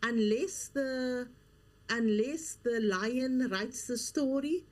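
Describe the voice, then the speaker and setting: Female guest, middle-aged, slightly high register, earnest and emphatic. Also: slow. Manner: earnest, emphatic, repeats key phrases. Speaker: guest, leadership coach and author. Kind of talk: interview conversation.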